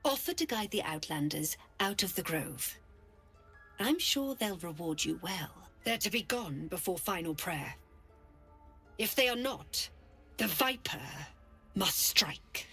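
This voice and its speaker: Forceful, imperious druid leader. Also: female voice, mid-range voice, expressive, breathy edge, soft background music, full character voice. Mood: threatening. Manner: imperious, deliberate, solemn. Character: stern zealous woman druid leader, menacing and proud. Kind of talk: dramatic video game acting.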